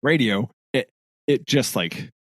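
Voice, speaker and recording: A man's voice: medium pitch, expressive, slow pace, breathy edge, no echo, clean studio sound. A man co-hosting a podcast in casual chat, rambling with many restarts and frequent laughs. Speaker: podcast co-host.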